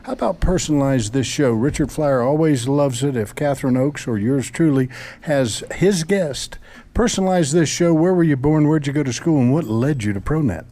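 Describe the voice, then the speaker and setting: A man hosts a talk radio show with a mellow, warm tone, keeping the patter upbeat and promotional. He introduces guests and moves the interview along. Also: fairly high pitch, lively intonation, moderate pace. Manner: promotional, enthusiastic host patter. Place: studio set in a mall before an audience.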